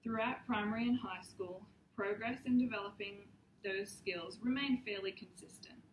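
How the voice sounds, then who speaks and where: A young woman reads a prepared presentation in a steady, earnest voice, stumbling now and then with an um or a restart. Her voice rings in an echoey room. A faint hiss sits underneath. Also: medium pitch. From Papua New Guinea. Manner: measured, earnest, occasional ums and restarts. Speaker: student presenter.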